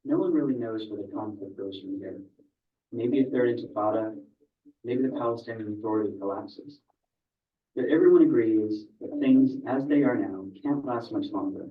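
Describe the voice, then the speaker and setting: A man's voice: mid-range voice, normal speed. Man narrating a grave documentary. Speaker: video narrator. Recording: distant echoey playback, dull sound.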